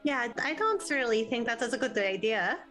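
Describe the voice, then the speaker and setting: A woman's voice: fairly high pitch, animated, moderate pace, a bit bright. A woman playing a tabletop role-playing game, chatting casually and stating what her character does. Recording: music behind the voice. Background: music.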